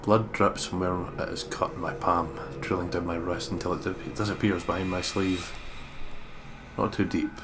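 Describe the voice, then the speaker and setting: Actor as a low-voiced, brooding male detective. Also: flat delivery, moderate pace, natural voice. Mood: somber, tense. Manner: grave, somber. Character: brooding, anguished male detective narrating his inner thoughts. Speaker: detective protagonist and narrator. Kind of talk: dramatic first-person game narration.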